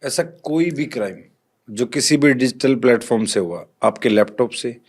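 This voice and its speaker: A man's voice: mid-range voice, expressive, moderate pace. A man with a mid-range voice answers as an expert police guest. He talks in an instructive, conversational way, walking the listener through examples one step at a time. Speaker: police officer, interview guest. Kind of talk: explanatory interview talk with examples.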